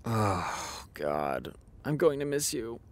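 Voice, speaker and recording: An actress playing an anxious, obsessive TV showrunner in naturalistic audio drama, with a muttering, stammering delivery. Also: female voice, very deep voice, very animated, slow pace, exaggerated voice. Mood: distracted, wistful. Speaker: actor.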